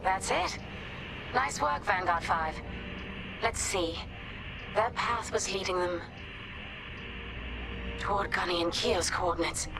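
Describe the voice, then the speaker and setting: Steady starfighter pilot under loud music. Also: female voice, mid-range voice, slow, pauses often, low-quality recording. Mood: focused, approving. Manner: clipped military radio phrasing. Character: calm, watchful starfighter squadron pilot woman, seasoned and steady. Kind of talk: cinematic video game voice acting.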